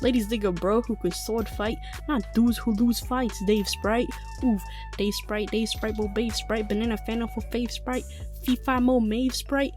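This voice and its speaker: A woman's voice: on the high side, steady tone, normal speed, a little mellow, natural voice. Woman playing a cocky young male rapper. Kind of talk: comic rap performance. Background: music.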